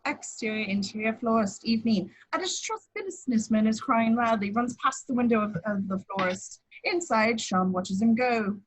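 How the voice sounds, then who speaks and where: A woman's voice: a little high, moderate pace, few pauses, husky, low-quality recording. A woman reading stage directions as plain scripted narration at an informal table read. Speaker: action-description reader.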